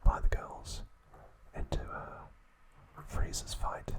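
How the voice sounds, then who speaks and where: A man narrating trivia as an ASMR host, in a slow, hushed, deliberate whisper with pauses between phrases.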